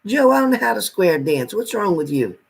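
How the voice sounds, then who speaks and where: A man with a somewhat high voice talks casually on a livestream. As a tarot reader, he greets and thanks viewers by name, gives friendly advice, and laughs often. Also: very animated, brisk, creaky, breathy edge, low-quality recording. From New Jersey.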